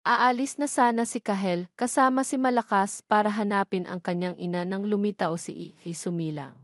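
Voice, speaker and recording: A woman's voice: medium pitch, fairly even intonation, moderate pace, dry recording, studio quality. A woman with a Filipino accent reading scripted narration for a romantic drama story.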